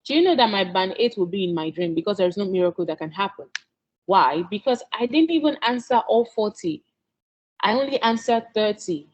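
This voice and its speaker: A woman's voice: mid-range voice, lively intonation, a little fast, dull audio. A Nigerian woman whose first language is Yoruba, giving an earnest exam-prep lesson as a tutor, coaxing listeners and checking in with "okay?". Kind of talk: instructional talk.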